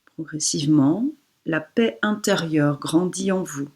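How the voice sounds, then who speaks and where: Very slow, breathy woman guiding relaxation. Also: low voice, darkish tone. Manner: soothing, unhurried instructions with pauses. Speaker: yoga instructor. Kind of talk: guided relaxation meditation.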